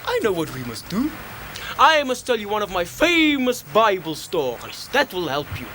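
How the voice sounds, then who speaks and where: An actor playing a fussy old Afrikaans South African uncle in a children's show, with a sing-song way of repeating himself. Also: male voice, sits high, wildly swooping pitch, moderate pace, bright, forceful tone, slight background noise, heavily acted. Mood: kindly.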